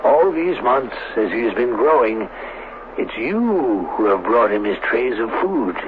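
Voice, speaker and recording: Thin, noisy old radio sound carries an obsessed scientist proud of his creation. His delivery is slow, grandiose and theatrical, at an ordinary male pitch. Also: very animated, narrow, tinny audio. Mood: reassuring. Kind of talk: 1970s radio drama acting.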